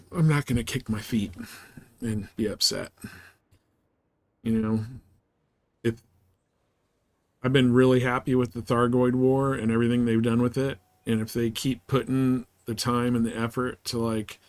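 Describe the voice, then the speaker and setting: A man hosting a live game stream, chatting casually and haltingly with viewers as he thinks aloud in an easygoing way. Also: mid-range voice. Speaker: game livestream host. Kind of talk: casual livestream chat while gaming, answering viewers.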